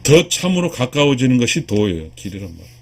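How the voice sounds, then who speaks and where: Husky man in his sixties, lecturing emphatically. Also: medium pitch, big pitch swings, quick pace, darkish tone. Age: 60s. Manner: earnest, emphatic, repeats and restarts key phrases. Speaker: lecturer, video channel host. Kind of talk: spiritual lecture addressed to viewers.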